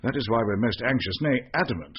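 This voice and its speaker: Actor as pompous British intelligence officer, muffled. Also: male voice, medium pitch, expressive, fast talker, natural voice. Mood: grave, pompous. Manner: formal, pompous, clipped. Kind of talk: British radio detective drama acting. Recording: muffled radio recording with hiss.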